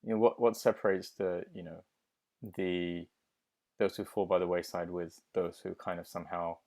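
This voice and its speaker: A man's voice: sits low, little pitch movement, normal speed, a little mellow. A man hosting an interview podcast, asking long, winding questions padded with "you know". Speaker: podcast host.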